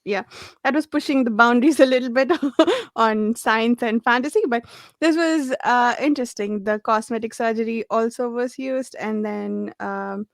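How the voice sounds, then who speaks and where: Female panelist, slightly high register, casual and enthusiastic, loose rambling delivery, close dry recording. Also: normal speed, no room sound. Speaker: book discussion panelist. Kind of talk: casual book discussion.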